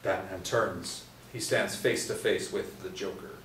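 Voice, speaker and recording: Low voiced guy reading out stage directions, echoey room, kinda far off, faint hiss. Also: unhurried. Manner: steady, with small restarts. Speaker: script narrator.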